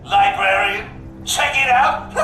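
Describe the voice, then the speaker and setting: A man's voice: extremely high pitch, gravelly, full character voice, low-quality recording. A man playing a manic, mocking clown supervillain in a video game, theatrical, taunting and gleefully cruel. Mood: manic glee. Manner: theatrical, taunting, prone to cackling. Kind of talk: video game villain acting.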